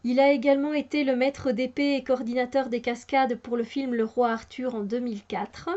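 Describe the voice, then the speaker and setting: A woman's voice: sits high, steady tone, normal speed, mellow. A woman reviewing a tarot deck in casual talk, hesitant with many fillers and restarts, and enthusiastic. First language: French. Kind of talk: casual spoken product review. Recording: muffled lo-fi home recording.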